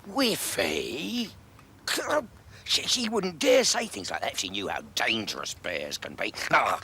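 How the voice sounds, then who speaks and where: Deep, gravelly, theatrical bear. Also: male voice, bright, forceful tone, exaggerated voice. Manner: dramatic, repetitive emphatic recitation. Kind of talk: children's verse recitation. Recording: clear background noise.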